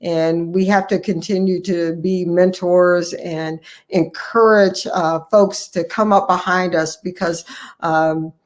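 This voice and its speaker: A middle-aged woman speaking as an interview guest, addressing colleagues encouragingly in a halting, deliberate way, repeating phrases for emphasis. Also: on the low side, steady tone. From United States, Ohio. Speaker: guest, family physician and academy president-elect.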